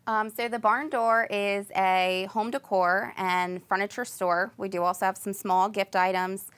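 Plain-spoken American woman, medium pitch. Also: steady tone, unhurried, fairly bright tone. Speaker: shop owner being interviewed. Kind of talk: informal interview explanation. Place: small home decor shop, indoors. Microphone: lapel microphone.

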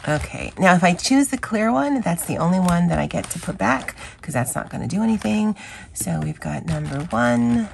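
A hoarse woman with a scratchy voice hosting a chatty, rambling coloring vlog. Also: lowish voice, expressive, normal speed, a little mellow. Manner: chatty, rambling, with fillers and self-corrections. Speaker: craft channel host. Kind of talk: casual coloring vlog chat.

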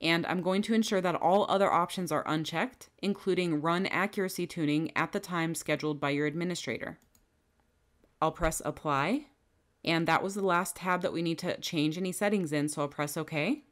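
A woman giving calm, methodical step-by-step instructions as a software trainer in a scripted screen-recording tutorial. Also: fairly low pitch, moderate pace.

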